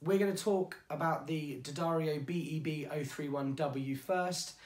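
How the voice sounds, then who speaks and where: An English man presenting ukulele strings in a chatty, informal way, his voice going hoarse. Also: fairly high pitch, measured pace, darkish tone, roomy sound. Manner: chatty, informal, self-deprecating. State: losing his voice. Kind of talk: product demonstration.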